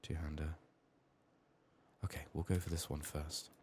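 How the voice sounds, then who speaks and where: Very deep, soft-spoken ASMR man. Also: level tone, moderate pace. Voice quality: soft-spoken, often dropping to whispers. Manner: murmured thinking aloud. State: sick, strained voice. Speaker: ASMR gameplay streamer. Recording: faint noise, keyboard typing and clicks. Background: keyboard typing, clicking, faint noise.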